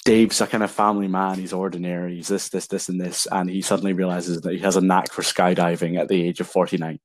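A man's voice: low-pitched, flat delivery, quick pace, no echo. A seventeen-year-old co-hosting a casual film chat, feeling his way through questions with lots of hesitations. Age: teens. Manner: hesitant, many fillers and restarts. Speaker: podcast co-host.

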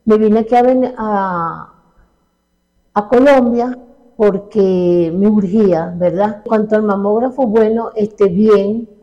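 A woman with a Venezuelan accent giving an informal testimonial, halting and often checking with tag questions. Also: mid-range voice, fairly even intonation. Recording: slightly dull lo-fi sound.

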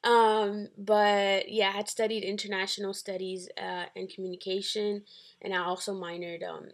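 A woman's voice: medium pitch, level tone, normal speed. A woman in her twenties from the Dominican Republic and New York, chatting casually as a guest in a hesitant, rambling way. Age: 20s. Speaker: podcast guest. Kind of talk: casual chat.

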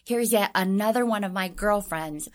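Woman, thirties, from Southern California, podcast guest, expressive storyteller, mid-pitched voice. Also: normal speed. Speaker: guest, beauty coach. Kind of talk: podcast interview, personal testimony.

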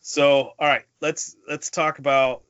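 A man's voice: on the high side, little pitch movement, measured pace, fairly bright tone, clean tone, no room sound. Dull-sounding man in casual podcast chat. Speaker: podcast co-host. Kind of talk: casual movie review chat.

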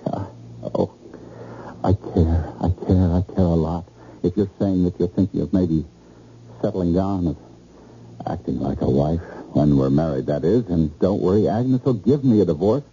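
A man's voice: deep voice, normal speed, dark tone, lightly acted. An actor playing an anxious, jealous, well-to-do stockbroker in an old-time radio drama, agitated and repeating his words insistently. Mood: earnest, halting. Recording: muffled, noisy old radio recording.

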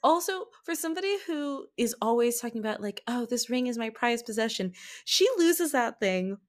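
A woman's voice: a little high, lively intonation, normal speed. A woman guesting on a podcast, giving wry, emphatic commentary in short punchy remarks during a casual chat.

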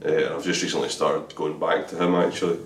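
A very deep-voiced Scottish man talks openly about his life as a drummer, repeating words as he gathers his thoughts. He sits some way from the mic in a reverberant room, with a faint hiss behind him. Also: flat delivery, fast talker. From Scotland. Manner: stammering restarts, candid and chatty. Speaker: drummer guest. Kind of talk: casual interview chat. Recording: echoey room from a distance.

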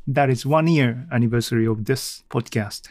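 A man's voice: medium pitch, a little mellow, no room sound. A man hosting a casual solo podcast, speaking hesitantly and often correcting himself. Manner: hesitant, halting, self-correcting. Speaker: podcast host.

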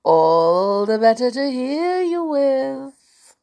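A woman voicing a wicked old male wolf posing as a frail granny in a storybook, with coaxing, drawn-out delivery. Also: high voice, very animated, vocal fry, mellow, voice pushed up, full character voice. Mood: menacing.

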